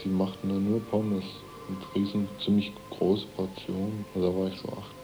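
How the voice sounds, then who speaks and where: Very deep, dark, gravelly, flat-toned man. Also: moderate pace, music in the background, poor audio. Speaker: interviewee. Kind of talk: casual chat, reminiscing.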